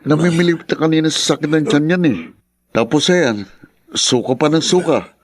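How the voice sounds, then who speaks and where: An actor playing a brisk, exasperated but helpful Filipino man in a radio drama. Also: a little high, lightly acted. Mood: exasperated, hurried.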